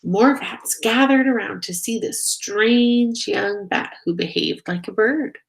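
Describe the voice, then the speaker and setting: A woman reading a children's picture book aloud in a gentle storytelling manner. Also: on the high side, slow pace, vocal fry, poor audio. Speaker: storybook reader. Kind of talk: children's read-aloud narration.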